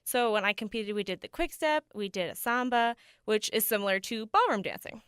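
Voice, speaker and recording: Upbeat young woman, smooth voice. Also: on the high side, expressive, brisk, fairly bright tone, no echo, clean studio sound. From United States and Denmark. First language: bilingual English and Danish. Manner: upbeat, eager, laughs often. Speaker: ensemble ice skater, guest. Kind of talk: radio interview answers.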